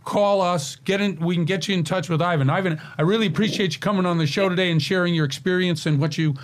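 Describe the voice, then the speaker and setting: A middle-aged man hosts a radio talk show in a casual, chatty way. His voice sits a little high for a man, and he pauses often on fillers and restarts sentences as he talks. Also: fairly even intonation. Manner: chatty, hesitant with many fillers.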